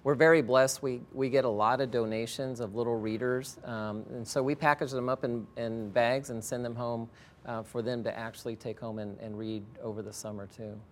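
Man, mid-pitched voice, school educator, conversational interview guest, light rumbly noise. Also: fairly even intonation, moderate pace.

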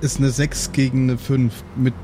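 A man's voice: medium pitch, flat delivery, normal speed, noisy background. A man chatting casually as a player in an improvised tabletop game. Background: faint background music.

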